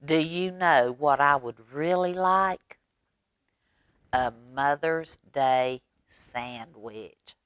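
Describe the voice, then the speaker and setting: A woman voicing a warm, playful storybook mother, folksy and gently teasing. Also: deep voice, drawn-out words, clear, resonant tone, no echo, full character voice. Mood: playful, loving. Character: warm, playful storybook mama, surprised and loving.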